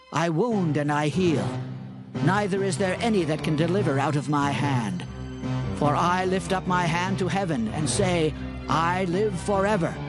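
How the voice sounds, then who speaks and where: A man voicing a prophet delivering a fervent poetic song in a dramatized scripture recording. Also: high voice. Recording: music clearly underneath.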